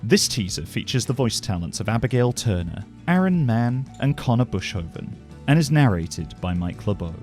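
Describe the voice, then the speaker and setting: A man's voice: mid-range voice, very animated, moderate pace, a little mellow. A man giving scripted narration for a fantasy fiction podcast. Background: music.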